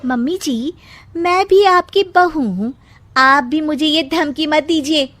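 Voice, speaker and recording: A woman's voice: high voice, slow pace, fairly bright tone, dry recording, pitched above the performer's own voice. A woman voicing a haughty, defiant young daughter-in-law with a sneering, cutting manner.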